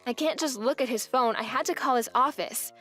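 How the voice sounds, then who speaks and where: A woman's voice: high voice, a little fast, fairly bright tone, soft background music. A young woman playing an indignant, resolute wife who narrates her story expressively in a manga dub.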